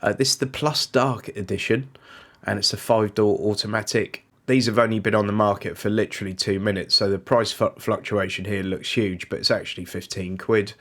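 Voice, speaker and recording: A man's voice: lowish voice, fairly even intonation, brisk, fairly bright tone. A British man hosting a car leasing channel, chatting casually and reeling off prices and specs while slightly stuffed up from a cold. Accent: British English. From United Kingdom. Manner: chatty, informal, reels off figures. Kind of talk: casual review monologue.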